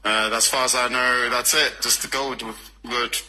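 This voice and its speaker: A man's voice: mid-range voice, little pitch movement, normal speed, sharp tone, a little hiss or hum. A Ugandan man answering street interview questions bluntly and offhandedly, laughing between answers.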